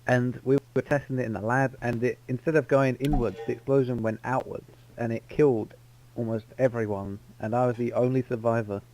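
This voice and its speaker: A man's voice: mid-range voice, steady tone, fairly quick, a little mellow, poor audio. Rambling roleplayer, a man who spins a tall tale and keeps fixing his own details. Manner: rambling, self-correcting storyteller. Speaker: roleplay player. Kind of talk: in-character roleplay storytelling. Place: online game voice chat.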